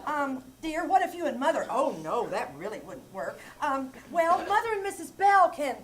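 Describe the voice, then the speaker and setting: Woman as giddy word-mangling wife, vintage radio comedy, high pitch. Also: very animated, moderate pace. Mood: chirpy. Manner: chirpy malaprop-prone chatter. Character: Jane, a scatterbrained cheerful wife who mangles words. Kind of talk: 1940s radio comedy acting. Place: theater stage.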